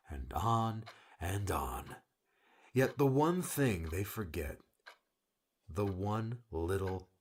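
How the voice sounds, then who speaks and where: A man voicing a weary, brusque rescuer with a secret caring side and a husky voice, in a dramatic audiobook. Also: low-pitched, exaggerated voice. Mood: exasperated. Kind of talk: dramatic audiobook character dialogue.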